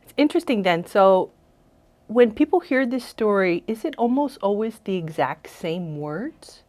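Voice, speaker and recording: A woman's voice: mid-range voice, expressive, a little slow, darkish tone. A woman interviewing guests as host, in a conversational style.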